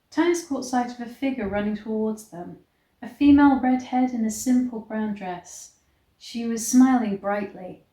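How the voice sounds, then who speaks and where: A woman with a slow, unhurried delivery reads stories and gives casual commentary. She is wry and sarcastic, and her voice carries the echo of the room. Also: a little high, mellow. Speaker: host reading a book aloud and reacting. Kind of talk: read-aloud storytelling with casual vlog commentary. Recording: echoey room.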